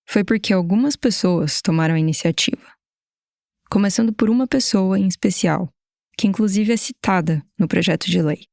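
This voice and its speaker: Measured Brazilian woman podcast narrator. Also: mid-range voice, creaky, dry recording, studio quality. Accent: Brazilian Portuguese. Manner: measured, explanatory delivery. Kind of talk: scripted narration.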